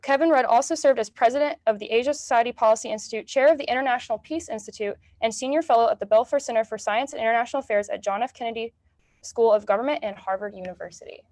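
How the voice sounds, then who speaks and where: A woman's voice: on the high side, racing, darkish tone, dry recording. A young woman in her teens, a student interviewer speaking politely and carefully in a prepared, formal style. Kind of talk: prepared formal introduction and questions.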